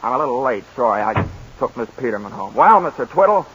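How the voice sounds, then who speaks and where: A brash, bossy young pollster. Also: male voice, medium pitch, big pitch swings, a bit bright, a little hiss or hum. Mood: breezy. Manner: bossy, fast-talking, jokey. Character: brash ambitious young male pollster and coach. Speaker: lead character. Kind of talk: 1940s radio acting. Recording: muffled 1940s radio broadcast.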